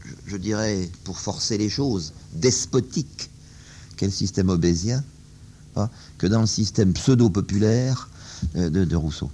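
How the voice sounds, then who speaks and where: A man answering questions on political philosophy, slow and hesitant, often restarting his sentences. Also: on the low side, dark tone, a little hiss or hum. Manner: hesitant, halting, many fillers and restarts. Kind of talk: spoken philosophical discussion.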